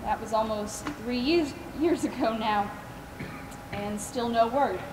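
Young actress as a high-voiced, kindly Southern woman. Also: slow. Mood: warm, reflective. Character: kind, devout Southern woman caring for the dying. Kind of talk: high school stage play acting. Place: large theater stage, recorded by far camera. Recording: echoey theater stage from far off, noisy.

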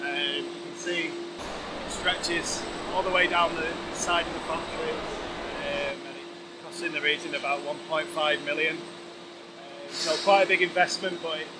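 A man's voice: on the high side, steady tone, unhurried. A man in his thirties explaining a process as a guide inside a large working factory. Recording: music behind, factory hum.

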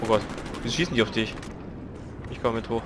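A young man with a cold, co-hosting a gaming video with excitable, fast banter. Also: mid-range voice, noisy background. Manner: fast, excitable, swears casually. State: has a cold. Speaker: gaming channel co-host. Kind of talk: excited let's-play banter. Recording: loud game gunfire under the voice.

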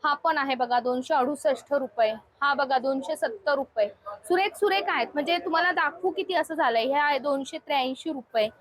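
A woman's voice: high-pitched, a little fast. A woman hosting a casual shopping vlog, chattily explaining things to viewers inside a shop. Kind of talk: casual shop tour presentation.

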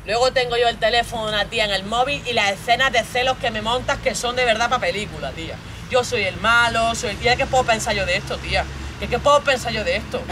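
A woman's voice: fairly high pitch, quick pace, noisy background, close to an everyday voice. A woman voicing a jealous, bullying young boyfriend from a stage monologue, with a Spain accent, domineering and badgering with plenty of swearing. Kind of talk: theatrical monologue role-play.

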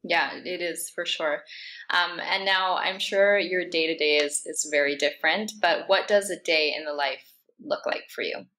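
A woman's voice: fairly low pitch, steady tone, moderate pace, pushed and bright. Polite woman hosting an interview. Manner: polite, reads prepared questions. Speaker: series host, interviewer.

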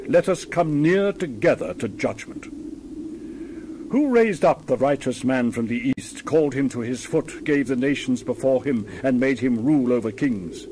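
A man's voice: medium pitch, a little mellow, slight background noise. A man reading the Bible aloud in a dramatized style, with solemn, theatrical, emphatic declamation. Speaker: Bible reader.

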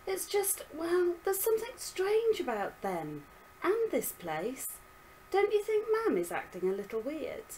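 A defiant, tearful young girl, as a woman reads her out from a storybook. Her voice swings widely as it climbs from pleading to shouting, with a faint hiss underneath. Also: very high-pitched, huge pitch swings, unhurried, voice pushed up, full character voice, rough recording. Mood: uneasy.

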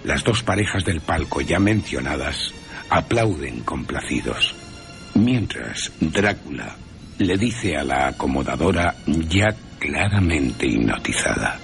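A gravelly, extremely low male voice tells a story from a script. His phrasing is deliberate and theatrical, over faint music. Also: very animated, fairly bright tone. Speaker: narrator. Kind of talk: scripted storytelling, descriptive narration.

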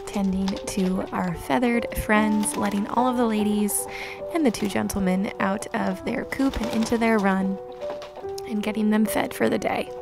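Young female narrator, calm and gentle, slight fry, light music bed. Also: mid-range voice. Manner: gentle, unhurried, with soft laughs. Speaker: homesteading vlogger. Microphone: voice-over recorded separately.

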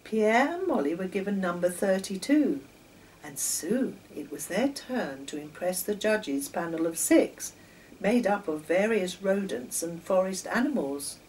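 Older female storyteller for children's tales, expressive pitch movement, unhurried, faint background noise. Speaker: children's story author and reader. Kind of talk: reading a children's story aloud. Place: home kitchen, indoors. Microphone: camera a few metres away. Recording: distant camera mic, faint noise.

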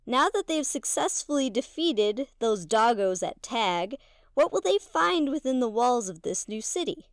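A woman's voice: fairly high pitch, very animated, a little slow, dry recording, studio quality. A woman reading a scripted recap as narrator of a game podcast. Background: music.

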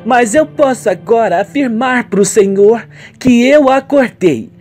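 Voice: mid-range voice, lively intonation, measured pace, a little mellow, faint music behind, voice pushed up. A performer voicing an arrogant, affected young rich daughter in a radio soap, haughty and emphatic. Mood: feigned sincerity.

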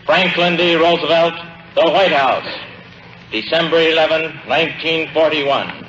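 An American man reading an official message formally as a clerk, in measured declamatory phrases, on a 1940s radio broadcast. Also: on the high side, fairly bright tone, highs cut off, a little hiss or hum. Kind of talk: formal official read-out to an assembly.

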